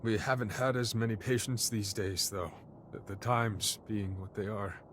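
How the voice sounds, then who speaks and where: A courteous, careworn father played by a male actor speaks in a fairly low voice. His manner is earnest and slightly formal, as in a dramatic game cutscene, with faint ambient noise behind him. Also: fairly even intonation, normal speed, lightly acted. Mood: amazed, earnest. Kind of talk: dramatic video game voice acting.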